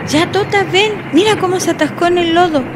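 Woman acting high-voiced curious friendly journalist. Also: fairly quick, heavy background noise. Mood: alarmed. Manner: conversational, inquisitive. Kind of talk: radio drama acting.

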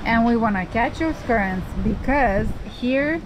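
Woman, middle-aged, casual sailing vlog talk, handheld camera, very loud boat and wind noise. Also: on the high side, lively intonation, unhurried. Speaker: sailing vlogger. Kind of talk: casual vlog chat and narration. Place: sailboat cockpit at sea.

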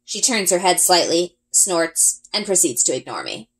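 A woman reading a first-person children's adventure story aloud in an expressive storytelling style. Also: fairly low pitch, moderate pace, rough recording. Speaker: read-aloud reader, elementary school.

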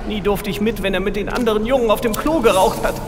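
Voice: mid-range voice, fast talker, background noise, lightly acted. An actor playing a vengeful, megalomaniac nerd supervillain in a comic radio play, ranting pompously and theatrically. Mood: aggrieved. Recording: long reverb, music behind.

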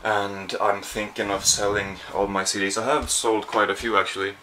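Young man, swedish accent, bright voice, lowish pitch, rambling tired vlog, faint room hum. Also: level tone, normal speed, poor audio. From Sweden. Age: young adult. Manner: rambling, self-deprecating, sleepy. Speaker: vlogger. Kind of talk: casual vlog. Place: small apartment indoors. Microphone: handheld camera at arm's length.

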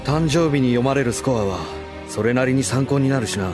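A man's voice: medium pitch, a little fast, lightly acted. A man acting a friendly, plain-spoken young swordsman in an anime audio drama. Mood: pensive. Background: faint background music.

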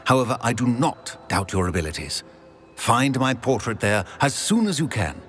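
Old wizard, formal, commanding, lively intonation, game cutscene, soft music underneath. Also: male voice, mid-range voice. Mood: grave, urgent. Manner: formal, measured, solemn. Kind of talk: dramatic video game cutscene acting.

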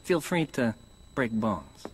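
An actor playing a corrupt, contemptuous police lieutenant in a crime game, with a sneering, threatening manner. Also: male voice, medium pitch, sing-song, slow, a little hiss or hum, rough recording.

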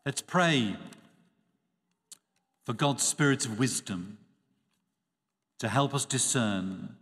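A man, a priest giving a measured, expressive Sunday homily at a church lectern. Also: medium pitch. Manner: measured, expressive preaching with dropping phrase ends. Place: church sanctuary. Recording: reverberant church acoustics.

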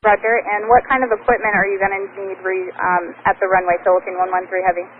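A woman's voice: mid-range voice, little pitch movement, quick pace, phone-quality sound. A woman working as an air traffic controller, issuing standard instructions over aviation radio.

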